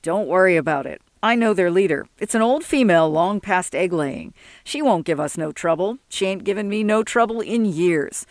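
A woman's voice: on the low side, lively intonation, normal speed, hardly any pauses, no echo, studio quality, close to an everyday voice. A woman voicing a laid-back, unworried male traveller in a science fiction story, with casual, folksy, ungrammatical talk. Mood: easygoing, reassuring.

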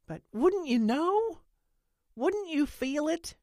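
A woman's voice: mid-range voice, sing-song, unhurried, no room sound, clean studio sound, voice pushed up. A woman voicing a level-headed rural woman who asks blunt, doubtful questions. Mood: incredulous.

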